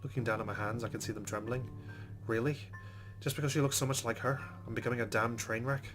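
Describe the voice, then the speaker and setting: A man's voice: mid-range voice, fairly even intonation, fast, music in the background, low-quality recording. A man reading a visual novel's narration aloud as a let's-play host, with casual commentary.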